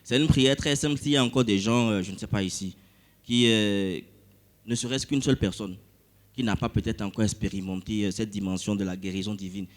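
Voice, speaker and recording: A man's voice: fairly low pitch, fairly quick. West african french guy telling a church testimony, lots of euhs, gets worked up. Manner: animated storytelling with frequent hesitations. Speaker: church member giving testimony.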